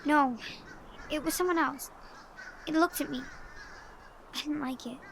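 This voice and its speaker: A woman's voice: high-pitched, measured pace, a bit bright, slight background noise. A woman playing a wary, eerie young girl in an audio drama, speaking in terse, simple sentences. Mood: frightened, wary.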